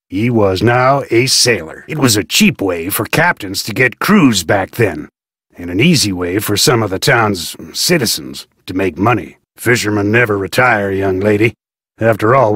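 An actor playing a gruff, folksy middle-aged fisherman in a video game, talking in a drawling, chuckling way. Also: lowish voice, lively intonation, normal speed, a bit bright, no room sound, clean studio sound. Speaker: game character.

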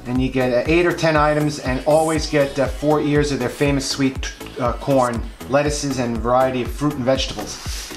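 A man talks through grocery deals in a frank, offhand way, full of ums and restarts. His voice sits at a middle pitch. Clear background music plays under him throughout. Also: steady tone, normal speed. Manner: frank, advice-giving, many ums and restarts. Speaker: vlog host reviewing grocery flyers. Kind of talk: casual off-the-cuff commentary. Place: indoors at a table, hands over flyers.

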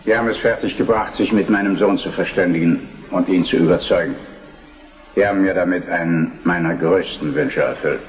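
A man playing a deep-voiced, solemn old king. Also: brisk, lightly acted. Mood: moved, trusting. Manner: grave, formal. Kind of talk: historical German radio drama acting. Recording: dull, echoey recording with music.

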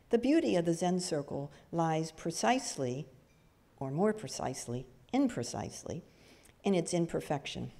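An older woman reciting a reading at a lectern in a church hall, with careful, deliberate phrasing. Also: low voice, dark tone. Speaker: reader at a church service. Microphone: gooseneck lectern microphone. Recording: long hall reverb.

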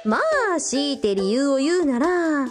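A woman acts as a young elder sister in a radio play, sociable and playfully teasing. Her voice is a little high and swings widely in pitch. Faint music sits behind her. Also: measured pace. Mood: fond, explaining. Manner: casual, playful, lively. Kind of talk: radio drama acting.

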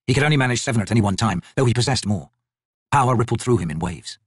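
A man's voice: medium pitch, expressive, quick pace, breathy edge, no echo, studio quality. A man reading dramatic fantasy narration as an audiobook narrator.

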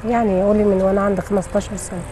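A woman's voice: mid-range voice, level tone, very fast, mellow. A middle-aged woman with a Port Said Egyptian accent, telling her story casually in plain, short colloquial answers outdoors. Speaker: taxi driver being interviewed. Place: city street, outdoors. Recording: faint outdoor street noise.

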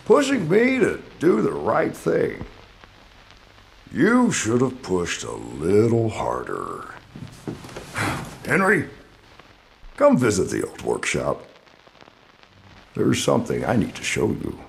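A man's voice: lowish voice, wildly swooping pitch, slow pace, gravelly, pauses often, full character voice. A man playing a weary, regretful aging studio boss in a video game monologue, wistful and theatrical.

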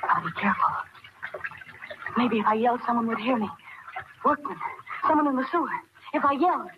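Actress as a terrified, high-voiced young captive. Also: female voice, brisk, close to an everyday voice. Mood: panicked. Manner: breathless, frantic, short bursts. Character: terrified, defiant rich young woman, captive. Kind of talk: old-time radio horror acting. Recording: very noisy, dull vintage radio recording.

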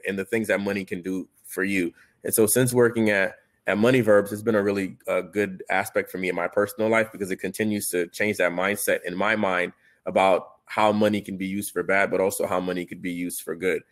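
Low-voiced man from Haiti, earnest. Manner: reflective, earnest, many fillers and restarts. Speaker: panelist. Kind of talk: personal storytelling on a video call. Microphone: video call.

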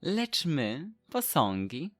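An actor playing an earnest, halting young boy statue who makes peace, in a radio play. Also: medium pitch, wildly swooping pitch, no room sound, studio quality, soft background music, full character voice.

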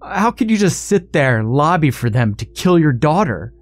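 A man voicing a desperate, grieving husband in a story, shouting defiantly and pleading. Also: a little high, big pitch swings, normal speed, no echo, pitched above the performer's own voice. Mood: desperate defiance.

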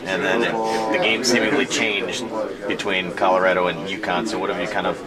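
A man's voice: low-pitched, fairly even intonation, a little fast. A man working as a sports reporter, asking informal interview questions in a locker room. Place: busy locker room, indoors. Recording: very loud crowd chatter behind.

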